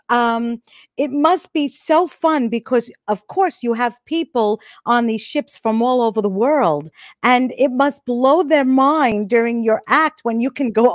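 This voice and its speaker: A woman's voice: a little high, no room sound. Enthusiastic woman host, close dry mic. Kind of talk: live online interview. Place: studio with logo backdrop. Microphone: microphone close in front.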